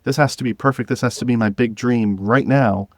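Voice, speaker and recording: A man's voice: mid-range voice, brisk, no echo. A man speaking as a podcast guest, chatting casually and earnestly, rephrasing as he goes. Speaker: podcast guest, creator of a video podcast drama. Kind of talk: casual interview chat.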